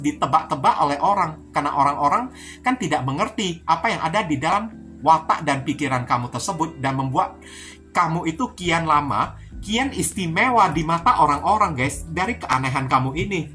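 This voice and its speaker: A woman's voice: deep voice, sharp tone. A woman from Indonesia giving an informal tarot reading, chatty and repetitive, often addressing her viewers directly. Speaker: tarot reader. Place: indoors at a card table. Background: music.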